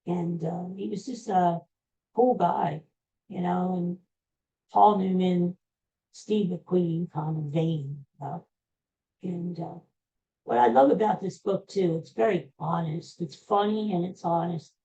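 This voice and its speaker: A woman's voice: fairly low pitch, steady tone, slow, dark tone. A middle-aged American woman hosting a podcast, chatting casually in a rambling, folksy, conversational way and trailing off now and then. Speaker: podcast host. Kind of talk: casual rambling solo chat. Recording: dull lo-fi recording.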